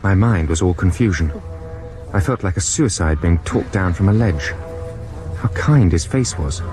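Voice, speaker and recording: A man's voice: sits low, lively intonation, normal speed, over music. An actor playing a timid, earnest young German student who narrates his adventure in a German accent, in a radio drama. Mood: dreamy. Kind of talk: dramatised first-person narration. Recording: 1990s radio broadcast with noisy effects behind.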